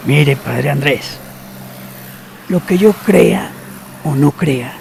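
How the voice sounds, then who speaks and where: An actor playing a secretive, cynical older man in a radio drama, who talks slowly and knowingly and drops to near whispers. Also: a little high, vocal fry, a little mellow, a little hiss or hum, heavily acted. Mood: sly.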